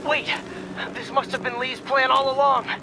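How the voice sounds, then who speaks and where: A man's voice: very high-pitched, level tone, normal speed, background noise. An actor playing an earnest, protective young male superhero in a dramatic video game. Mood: urgent, worried.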